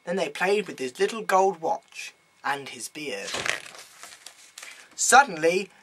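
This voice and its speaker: A man reading a children's bedtime story aloud in an animated way with dramatic emphasis. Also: sits high, takes it slowly, background noise.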